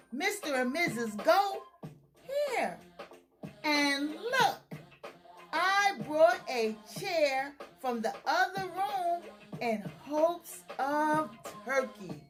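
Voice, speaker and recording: Storybook moose hostess played by a woman: welcoming, fussing, slightly hesitant, very high pitch, leisurely pace. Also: big pitch swings, very slow, long pauses, soft background music, full character voice. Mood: welcoming, organizing. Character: gracious, fussing female moose hostess of dinner.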